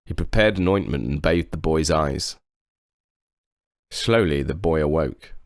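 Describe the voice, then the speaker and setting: A man reading a children's story aloud with expressive narration. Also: very deep voice, a little slow, no room sound, studio quality. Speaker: storybook reader.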